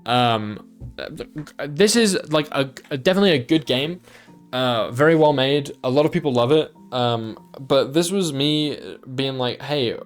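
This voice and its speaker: A man's voice: medium pitch, a little slow, faint music behind. A young man casually chatting on a livestream about the games he played, rambling with lots of ums and restarts. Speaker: streamer.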